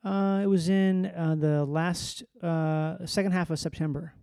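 A man's voice: on the high side, fairly even intonation, a little slow, gravelly, darkish tone, dry recording, clean studio sound. A man in casual conversation, easygoing, slangy and playful. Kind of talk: casual chat.

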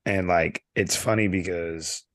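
Low-voiced man telling a rambling story. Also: fairly even intonation, measured pace, fairly bright tone, dry recording. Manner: rambling storyteller, many fillers and restarts. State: losing his voice. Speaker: podcast co-host. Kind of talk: casual chat.

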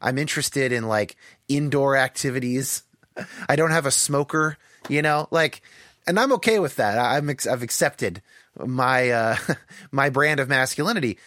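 A man with a somewhat higher-pitched voice, talking thoughtfully in a podcast conversation and hedging with lots of "like" fillers. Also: moderate pace, bright, forceful tone, no echo. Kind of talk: casual conversation.

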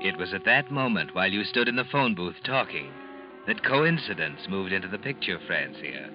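Low male suspense narrator, ironic and deliberate, addressing the heroine; muffled classic-radio sound. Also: animated. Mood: ominous. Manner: deliberate, dramatic, knowing. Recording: dull old radio recording with music behind.

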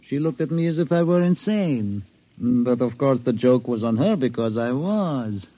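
A male actor plays an eccentric, self-amused sanitarium inmate in old radio style. His voice is mellow and swoops about theatrically. He speaks with grand courtesy and bristles easily, sounding close and dry. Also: mid-range voice, animated, moderate pace, dry recording, lo-fi sound, natural voice. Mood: grandly whimsical. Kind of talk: old-time radio drama acting.

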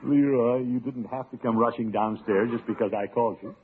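An actor playing a pompous, doting middle-aged uncle in an old radio sitcom, chuckling and blustering along in a self-satisfied way. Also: male voice, mid-range voice, fairly even intonation, a little fast, close to an everyday voice. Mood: flustered, dismissive. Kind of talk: 1940s-50s radio sitcom acting. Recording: tinny, hissy old radio recording.

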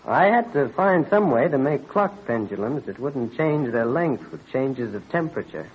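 A man playing an earnest, excitable colonial American inventor in an old-time radio drama, explaining things eagerly and modestly. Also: mid-range voice, normal speed. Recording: husky-sounding dull vintage radio recording.

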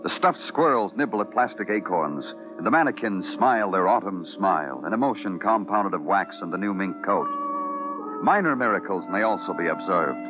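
A man with an American accent playing a hard-nosed, wry New York police detective in an old-time radio crime drama, terse and deadpan. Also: sits low, normal speed. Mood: wry, brooding. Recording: muffled vintage radio recording, music behind.

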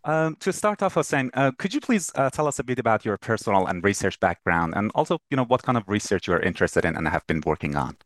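Man, podcast host, lowish pitch, dry close sound, interview presenting. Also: very animated, fast, hardly any pauses, dry recording. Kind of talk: scripted introduction and interview questions.

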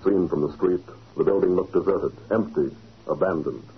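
A man's voice: low voice, normal speed, husky, narrow, tinny audio, full character voice. A man as the solemn announcer-narrator of a crime serial, in dramatic 1940s radio style. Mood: dramatic, suspenseful. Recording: thin, band-limited old radio recording.

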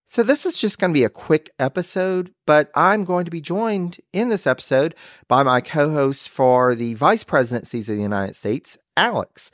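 A man's voice: on the high side, lively intonation, moderate pace, no echo. A man hosting a history podcast in casual chat, upbeat and laughing often, on a close microphone. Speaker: podcast host.

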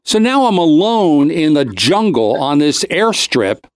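A man's voice: on the high side, sing-song, measured pace, no room sound, studio quality. A man hosting a talk show, telling a comic anecdote in a casual, rambling way, cutting himself off and acting out the dialogue. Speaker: show host.

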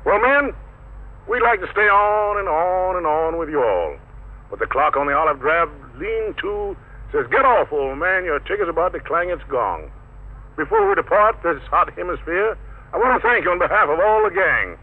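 A man's voice: high-pitched, very animated, normal speed. An American man hosting a 1940s radio show with playful, hip jive patter. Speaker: radio show host and emcee. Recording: tinny, narrow-band vintage radio recording.

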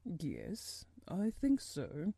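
A miserable middle-aged gentleman, acted out by a woman narrator, speaks in a very mellow, soft-edged tone that rises and falls freely. He is fretful and distracted, halting as though his thoughts are elsewhere. The storybook voice is recorded close and dry. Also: fairly low pitch, huge pitch swings, gravelly, breathy edge, dry recording, heavily acted. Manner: absent-minded, halting, worried.

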